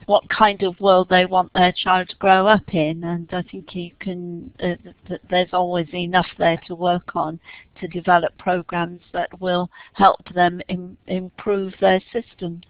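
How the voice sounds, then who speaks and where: A panelist on a web call gives a response in a steady, flat delivery, with a few fillers along the way. The sound is muffled and dry, with no room reverb. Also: mid-range voice, measured pace. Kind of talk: webinar discussion.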